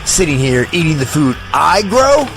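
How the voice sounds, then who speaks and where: An actor playing a contemptuous, bullying landowner in an audio drama, blunt and demanding. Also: male voice, fairly high pitch, big pitch swings, normal speed, over music, rough recording.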